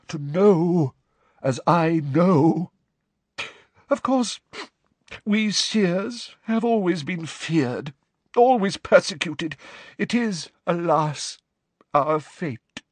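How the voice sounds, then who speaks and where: A man voicing an overwrought, eccentric older female seer teacher, speaking in a high, throbbing, theatrical voice. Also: no room sound, heavily acted. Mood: dramatic.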